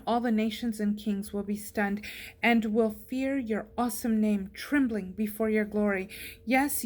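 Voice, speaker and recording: A woman's voice: fairly high pitch, darkish tone. A woman reading a psalm aloud as a scripture reader.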